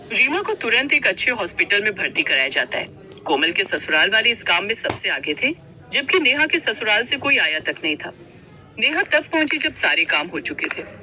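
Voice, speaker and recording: Animated, very bright female storyteller. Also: a little high. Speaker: narrator. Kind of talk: scripted storytelling narration.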